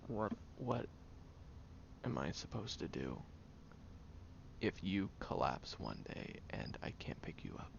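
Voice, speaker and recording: A man playing a guarded, prickly young tsundere caring for a sick friend in an intimate audio roleplay, speaking haltingly, stern yet caring, often hushed. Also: deep voice, very animated, vocal fry, dull audio, a little hiss or hum, exaggerated voice. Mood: vulnerable.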